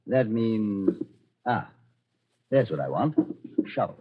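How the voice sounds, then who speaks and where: An actor playing a coolly menacing Nazi colonel in 1940s radio style, commanding and sardonically polite. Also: male voice, on the low side, very animated, takes it slowly, heavy background noise. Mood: brisk, commanding. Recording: dull, husky-sounding old radio recording.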